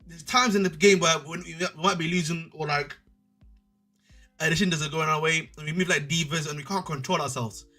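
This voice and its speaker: A young man talks football in a loose, rambling way. His voice sits high and bright, with a husky edge, and faint music plays behind him. He circles back on his own phrases and drops slang as he goes. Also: brisk. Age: 20s. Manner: rambling, animated, slangy, repeats himself. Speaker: podcast co-host. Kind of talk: casual football chat.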